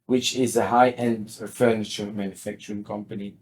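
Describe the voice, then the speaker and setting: A man's voice: fairly low pitch, level tone, normal speed, darkish tone. A man in his sixties with a Belgian accent explains things in an interview, often repeating words as he goes. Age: 60s. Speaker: interview guest, company CEO.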